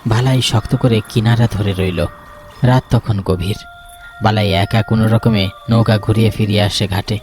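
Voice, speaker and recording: A man's voice: fairly low pitch, steady tone, moderate pace. Male ghost-story narrator over music. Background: background music.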